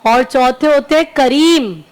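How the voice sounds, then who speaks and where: High-voiced didactic woman religious scholar. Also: slow pace. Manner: didactic, explaining in numbered points with repetition. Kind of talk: religious teaching on a talk show. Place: TV broadcast studio.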